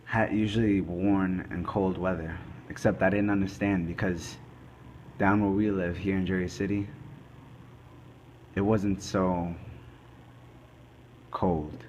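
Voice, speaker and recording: Man playing a low-voiced, grave crime investigator. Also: steady tone, slow, pauses often, a little mellow, muffled recording, slight background noise. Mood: grave, deliberate. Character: male forensic investigator recounting a murder case, grave and methodical. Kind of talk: scripted dramatic monologue, amateur student production.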